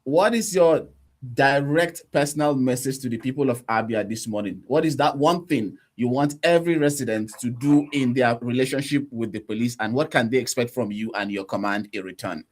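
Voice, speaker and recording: A man's voice: mid-range voice, normal speed. A man hosting a radio interview, putting questions in a formal, courteous way.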